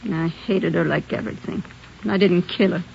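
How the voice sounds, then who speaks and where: A brassy American wife under suspicion of murder, slangy, defensive and defiant. The sound is dull, lo-fi old-time radio, with the clipped feel of a crime serial. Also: female voice, fairly low pitch, normal speed, vocal fry, a little mellow, slight background noise. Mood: sullen, weary. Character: defiant, brash married woman accused of murder. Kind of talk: 1950s radio crime drama acting.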